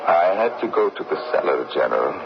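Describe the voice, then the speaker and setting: An actor playing a courteous, measured middle-aged father who hosts dead guests in an old radio drama. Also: sits low, very animated, vocal fry, exaggerated voice. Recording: dull, noisy old radio broadcast.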